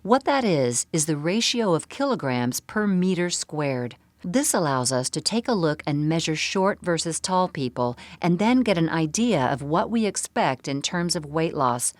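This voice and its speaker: Woman, low pitch, dry close sound, scripted seminar narration, measured explanatory delivery. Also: no room sound. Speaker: presenter of a bariatric surgery program.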